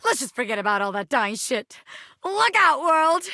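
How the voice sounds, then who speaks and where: A woman's voice: sits high, big pitch swings, moderate pace, bright, forceful tone, no room sound, clean studio sound, heavily acted. A woman voicing a brash, scrappy ex-gangster bobby pin turned flirty magician in a video game, with cocky, theatrical streetwise slang. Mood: amazed, excited. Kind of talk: animated video game character acting.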